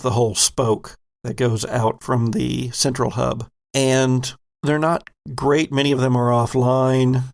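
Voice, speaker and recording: A man narrating a tabletop game as game master, in an unhurried, descriptive way. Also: medium pitch, gravelly.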